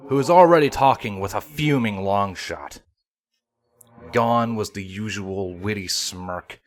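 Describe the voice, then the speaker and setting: A man reading a dramatic scripted story as its narrator. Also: fairly low pitch. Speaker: fan fiction narrator.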